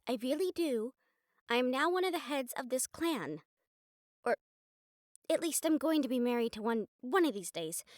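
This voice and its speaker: A woman's voice: high-pitched, dry recording, clean studio sound. A woman playing a wry, determined young bride-to-be in a vampire clan, speaking in a teasing, conversational way to the listener in an audio roleplay. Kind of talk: audio roleplay drama acting, addressing the listener.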